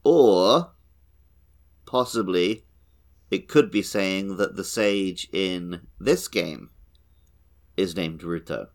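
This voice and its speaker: A man's voice: low-pitched, drawn-out words, long pauses. A man co-hosting a podcast, talking casually through a video game discussion. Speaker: podcast co-host.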